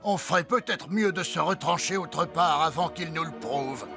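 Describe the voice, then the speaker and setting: An actor playing a bullying, sly villain's lackey in an animated series, taunting and theatrical, with a creaky voice. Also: male voice, a little high, normal speed. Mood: wary, sneering. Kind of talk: French animated series dubbing. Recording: music behind the voice.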